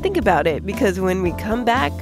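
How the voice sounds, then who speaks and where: A woman hosting a kids' science podcast, explaining things clearly and simply in a conversational way. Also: medium pitch, big pitch swings, brisk, music in the background. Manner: clear simple explaining, addressing young listeners. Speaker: podcast host.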